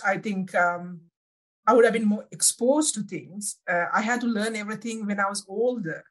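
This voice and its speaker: A woman with a Sri Lankan accent speaks as an interview guest in second-language English. Her pitch sits in the middle for a woman. She reflects on personal experience, searching for words and correcting herself as she goes. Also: rough recording. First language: not English (English is second language).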